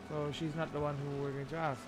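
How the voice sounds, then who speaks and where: A woman's voice: deep voice, flat delivery, fairly quick, music in the background. A woman streaming a game, giving casual commentary in short muttered reactions. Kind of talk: casual livestream playthrough commentary.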